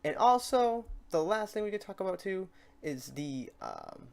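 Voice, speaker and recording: Casual man walking through a software tutorial. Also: high-pitched, wildly swooping pitch, unhurried, creaky. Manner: informal, talks to himself while clicking through steps. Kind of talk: casual unscripted screen-recorded tutorial narration.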